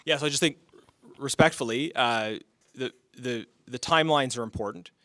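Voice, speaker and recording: A man's voice: medium pitch, fairly bright tone, no echo. A man, a political candidate, answering audience questions at a debate, often restarting his sentences. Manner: halting, many restarts. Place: mosque hall.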